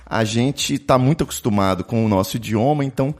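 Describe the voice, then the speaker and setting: A man's voice: medium pitch, fairly quick, a little mellow. A man with a Brazilian accent hosting a casual interview podcast in an informal, opinionated, conversational way. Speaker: podcast host.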